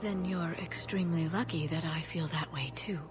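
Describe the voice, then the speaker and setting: An actress playing a soft-spoken female alien scholar in a video game, gentle, wistful and slightly shy. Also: low voice, moderate pace, over music, close to an everyday voice. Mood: tender.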